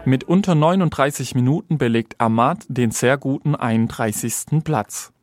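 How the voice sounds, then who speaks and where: Man, reporter, scripted radio report narration, medium pitch. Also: moderate pace, a crowd in the background.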